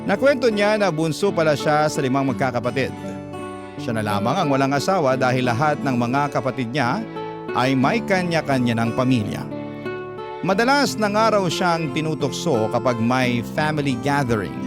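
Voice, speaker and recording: Filipino guy reading out a letter radio-style, mid voice, guitar playing loud behind him. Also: mid-range voice, animated, normal speed. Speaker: radio storyteller. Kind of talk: first-person scripted narration of a listener's letter. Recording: guitar music bed under voice.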